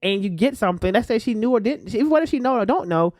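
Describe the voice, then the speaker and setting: A man co-hosting a podcast, talking in a loose, animated, rambling way full of slang. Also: high voice, quick pace, no echo, clean studio sound. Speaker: podcast co-host. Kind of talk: casual chat.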